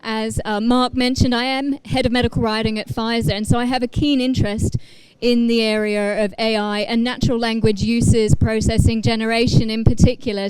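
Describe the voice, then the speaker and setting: A woman's voice: a little high, a little mellow, clear, resonant tone, no echo, poor audio. A woman from Australia with a faintly British-tinged Australian accent, moderating a conference panel, polite and full of ums and restarts. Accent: Australian with a slight British tinge.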